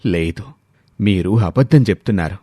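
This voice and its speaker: A man's voice: sits low, expressive, moderate pace, dark tone, no echo, clean studio sound, voice pushed down. A man voicing an elderly grandfather in a story, suspicious and demanding, with confrontational, insistent questioning. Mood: stern, demanding.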